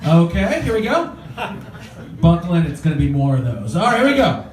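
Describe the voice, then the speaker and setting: A man doing a stand-up comedy set for a live club audience, animated and jumping into high voices for the people he quotes. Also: fairly quick, slight background noise. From Mexican-American. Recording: roomy, distant live-club mic with crowd laughter.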